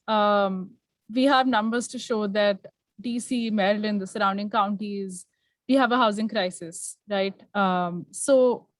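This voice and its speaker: A woman moderating a panel conversationally, informal and halting as she thinks aloud. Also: a little high, dry recording.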